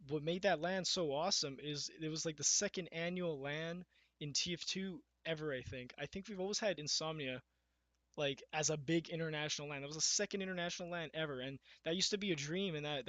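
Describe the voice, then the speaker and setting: A young man talking casually in a video podcast about competitive gaming. His voice is slightly raspy and a little shaky, and he speaks with many fillers and restarts as he reads from notes. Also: fairly bright tone, no echo. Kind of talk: casual unscripted talk, reading from notes. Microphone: webcam mic. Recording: dull, dry low-quality webcam mic.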